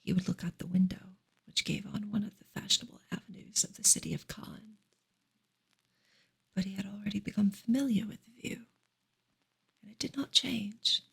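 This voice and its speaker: A woman reading a book aloud for ASMR in a soft, breathy, unhurried whisper. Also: mid-range voice, low-quality recording. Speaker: ASMR reader and streamer.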